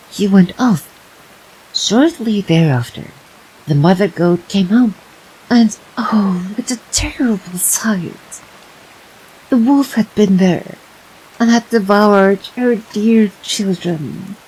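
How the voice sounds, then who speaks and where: A woman streamer gently narrating fairytales for bedtime in an unhurried way. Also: mid-range voice, lively intonation, slightly rough, low-quality recording.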